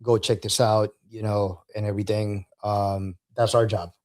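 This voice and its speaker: A man with a fairly low voice talks as a podcast guest. He is a veteran, and he tells his stories in a loose, conversational style. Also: level tone, moderate pace, darkish tone. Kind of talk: casual podcast storytelling.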